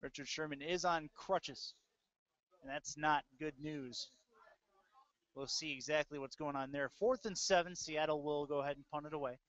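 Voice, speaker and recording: A man's voice: on the high side, moderate pace, a bit bright. A man doing live play-by-play commentary of a football game as a sports announcer. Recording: dull lo-fi web audio stream.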